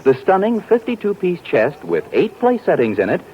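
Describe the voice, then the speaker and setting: Enthusiastic 1940s radio announcer. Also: male voice, sits high, sing-song, moderate pace, a little hiss or hum. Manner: smooth, salesy, enthusiastic. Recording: dull lo-fi 1940s radio broadcast.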